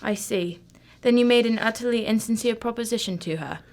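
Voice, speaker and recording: A woman's voice: on the high side, fairly quick, a little mellow, natural voice. A woman acting a shrewd, unflappable female detective in a radio drama, formal, composed and condescending. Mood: brisk, probing.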